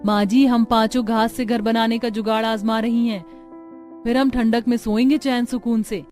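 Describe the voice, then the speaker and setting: Woman doing practical young village bahu in a cartoon, earnest naggy talk, soft music under. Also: on the high side, steady tone, normal speed, natural voice. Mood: resourceful, earnest. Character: practical, outspoken young village daughters-in-law. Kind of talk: Hindi cartoon story dialogue.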